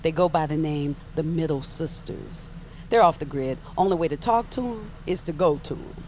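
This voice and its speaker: A woman's voice: low voice, normal speed, mellow, slight background noise, lightly acted. An actress playing a blunt, protective witch mentor in an audio drama, with a dry, earnest manner. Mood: firm, concerned.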